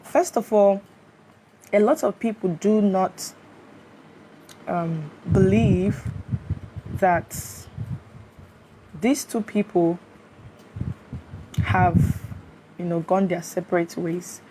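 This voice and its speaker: A woman's voice: mid-range voice, extremely slow, long pauses. A woman hosting a casual live stream from her phone, greeting viewers by name and checking that they can hear her. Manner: greets viewers by name and keeps asking if she can be heard. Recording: noisy phone audio with a fan running. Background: fan noise.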